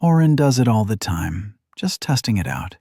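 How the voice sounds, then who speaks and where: A man's voice: fairly low pitch, very animated, normal speed, creaky, warm tone, husky, no room sound, clean studio sound, exaggerated voice. A man voicing an earnest, headstrong young noblewoman with an anxious edge in an audiobook. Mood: playful. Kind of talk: audiobook character acting.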